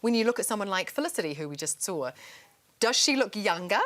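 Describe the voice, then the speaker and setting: An Australian woman as a guest on a TV shopping show, explaining a skincare product in a calm, assured way. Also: mid-range voice, fast talker.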